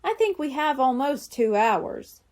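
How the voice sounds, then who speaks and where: A woman reading aloud while voicing a gentle, organized mother in a children's storybook. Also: sits high, very animated, measured pace. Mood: motherly. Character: warm, organized mother planning a surprise.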